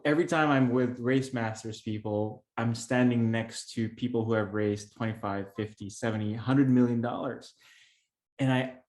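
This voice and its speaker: A middle-aged Filipino man speaks as a podcast guest and tells his story earnestly, often restarting his sentences. His voice sits at an ordinary pitch. The room around him rings with echo. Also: a little mellow. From Philippines. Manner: earnest, many restarts and fillers. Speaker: podcast guest, real estate investor. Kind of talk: conversational interview storytelling. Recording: echoey room.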